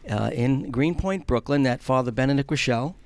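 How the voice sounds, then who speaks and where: A man's voice: mid-range voice, a little fast, a little mellow. A middle-aged man with a New York accent, answering as an interview guest in a hesitant, reflective, plain-spoken conversational way. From Long Island, New York, United States. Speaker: guest, film producer and charity house director.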